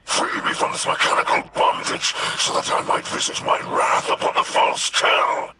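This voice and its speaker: An actor playing an ailing alien father in a video game, a proud leader gripped by madness, with a rasping, strained, grandiose delivery. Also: normal speed, natural voice. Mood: raging, desperate. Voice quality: gravelly, rasping. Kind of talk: video game cutscene acting. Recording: noisy background ambience.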